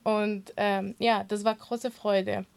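A woman's voice: medium pitch, level tone, slow, creaky, smooth. A young woman whose first language is Kurdish, a swimming instructor answering interview questions earnestly, with many fillers and restarts.

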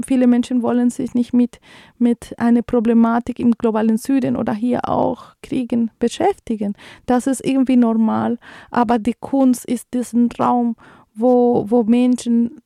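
Colombian-accented female radio host, thirties, higher voice, flat delivery, hesitant with fillers, mellow tone, dry close sound. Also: gravelly, no room sound. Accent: Colombian Spanish. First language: Spanish. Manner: hesitant, many fillers and restarts. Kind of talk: community radio commentary and news reading.

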